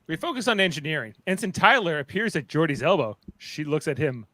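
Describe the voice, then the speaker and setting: A man's voice: fairly high pitch, animated, a little fast, very noisy. A man narrating a radio play, reading out stage directions in the present tense. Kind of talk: scripted narration of a radio play live read.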